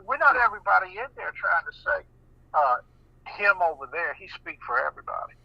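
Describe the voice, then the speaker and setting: A man talking on a radio show in an animated, folksy way, often repeating words as he goes. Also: sits high, fairly quick, creaky, dry recording, lo-fi sound. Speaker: guest speaker.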